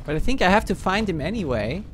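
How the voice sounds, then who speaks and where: Higher-voiced German man streaming a game. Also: sing-song, quick pace, over music. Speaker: livestreamer, game host. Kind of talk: casual live game commentary. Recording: faint game ambience behind.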